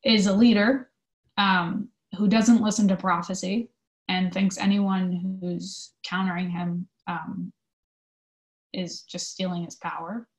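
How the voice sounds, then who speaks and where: A woman answering interview questions in an informal, conversational way, explaining her work thoughtfully with pauses. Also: fairly low pitch, little pitch movement, rough recording. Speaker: theatre company manager being interviewed.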